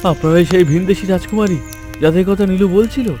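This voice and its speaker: A man's voice: high voice, fast, dark tone, faint music behind, natural voice. A man playing a humble, grateful village father in a fairy-tale cartoon, deferential and pleading. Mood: surprised, curious.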